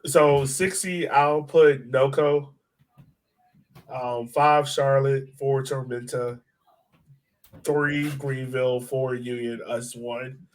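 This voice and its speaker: A man's voice: medium pitch, fairly even intonation, takes it slowly. A man co-hosting a soccer podcast in casual, slangy, conversational sports chat.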